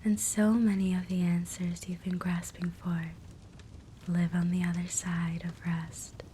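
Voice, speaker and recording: Slow, whispering woman guiding sleep meditation. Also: on the low side. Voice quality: soft, breathy, whispered. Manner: gentle, soothing, tender. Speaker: sleep hypnosis guide. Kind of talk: guided sleep meditation, hypnotic ASMR. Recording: soft steady fireplace crackle noise. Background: fireplace ambience.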